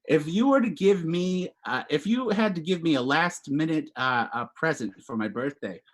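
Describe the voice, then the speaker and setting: A man hosting a dating-show bit in improv comedy, talking in a hesitant, halting way full of fillers over a home video call. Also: on the high side, expressive. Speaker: game show host.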